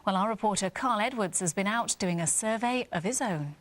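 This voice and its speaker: A woman's voice: medium pitch, expressive, brisk, background noise. A woman co-presenting a regional evening news programme, reading links and asking a guest questions.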